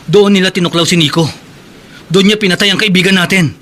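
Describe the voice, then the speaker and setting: Horror radio role: troubled, distrustful Filipino fisherman, sharp slightly high voice, light background noise. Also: fairly even intonation, fast. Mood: fearful, accusing. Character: Paolo, guilt-ridden, suspicious fisherman and sardine maker.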